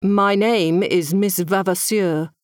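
A woman's voice: mid-range voice, animated, moderate pace, dry recording, studio quality, close to an everyday voice. A woman voicing a proper, haughty young lady who speaks in a clipped, imperious way. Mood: stern.

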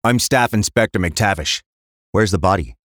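A man's voice: lowish voice, lively intonation, normal speed, a bit bright, dry recording, studio quality. A man voicing a plain-spoken police inspector in his forties in an audiobook crime story. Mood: weary, blunt. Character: weary forty-two-year-old police inspector, gentle father, blunt cop.

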